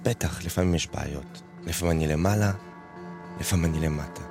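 A man playing a reflective singer looking back on his life in a slow, halting radio monologue that repeats phrases. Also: rumbling low, over music.

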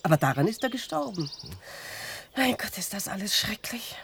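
An actress playing a fretful, chatty country innkeeper in a radio drama, confiding and plaintive. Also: female voice, medium pitch, very animated, moderate pace, creaky, slightly rough, full character voice.

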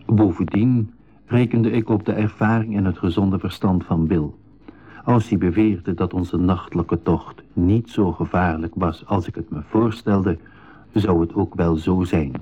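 An apprehensive safari member in a radio play. His voice is low and husky. He tells the story in a literary, scripted way. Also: steady tone, measured pace, mellow, dull audio. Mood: measured narrating. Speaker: narrator and member of the expedition. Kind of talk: scripted radio drama narration.

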